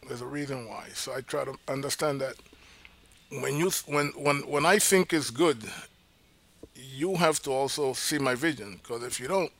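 A chef from Panama with a gravelly voice, talking in a casual interview. He drives his points home by repeating them. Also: medium pitch, moderate pace, fairly bright tone. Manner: emphatic, repeats key points.

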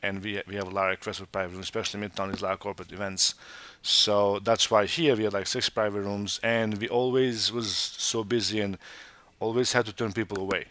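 A man's voice: fairly low pitch, level tone, brisk, a bit bright, no room sound. A man from Montenegro who owns restaurants, talking casually in an interview with many restarts and fillers. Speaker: restaurant owner.

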